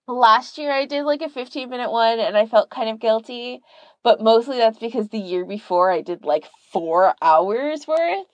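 Animated woman, dry close sound. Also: fairly high pitch, moderate pace, no echo, lo-fi sound. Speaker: podcast host. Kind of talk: casual podcast chat.

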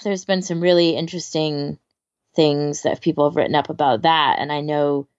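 A woman's voice: low voice, steady tone, moderate pace, a little mellow, no echo. A woman co-hosting a podcast, chatting informally and giving her opinions, on slightly dull audio. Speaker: podcast co-host. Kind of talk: casual discussion.

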